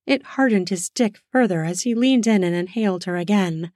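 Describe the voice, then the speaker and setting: A woman narrating an erotic audio story in a slow, sensual way, lingering on words for emphasis. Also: mid-range voice, darkish tone, no echo, clean studio sound. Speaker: storyteller, podcast host.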